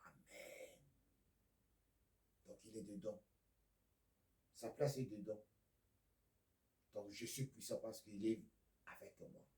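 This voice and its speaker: Slow, roomy-voiced Congolese preacher. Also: male voice, fairly low pitch, steady tone. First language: Lingala or Kikongo. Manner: slow, swings from loud declaiming to near-whispers. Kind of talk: video sermon.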